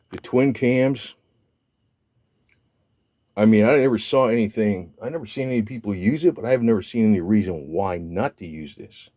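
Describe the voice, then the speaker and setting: An older man in his seventies rambles through a casual video update, full of fillers, restarts and mild swearing. His voice sits very low. The sound is dry, with almost no room echo. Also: mellow. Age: 70s. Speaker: motorcycle vlogger. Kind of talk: casual rambling talk to camera.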